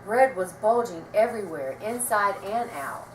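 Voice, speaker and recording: A woman reading a children's story aloud with expressive, dramatic storytelling delivery. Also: medium pitch, a little slow. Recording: roomy, distant mic, faint noise.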